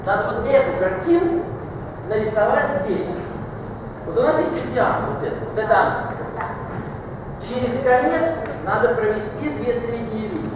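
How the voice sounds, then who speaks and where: A man's voice: very high voice, lively intonation, moderate pace. An older man teaching at a blackboard, explaining step by step in an instructive way, with blunt corrections and short commands. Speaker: mathematics teacher. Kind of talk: explaining a geometry problem at the blackboard. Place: classroom with a large blackboard. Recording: echoey hall, distant mic, noisy room.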